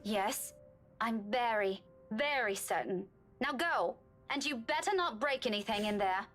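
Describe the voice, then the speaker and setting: A young woman in a video game, stern and fed up. Her voice is quite high, and she scolds in curt, firm phrases. Music plays behind her. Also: expressive, unhurried, fairly bright tone. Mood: exasperated. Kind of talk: visual novel game voice acting.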